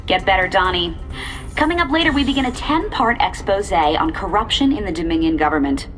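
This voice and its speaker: A woman's voice: medium pitch, lively intonation, a little fast, fairly bright tone, natural voice. An actress playing a poised, earnest female TV news reporter with a professional broadcast delivery. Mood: earnest, pointed. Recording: music behind the voice.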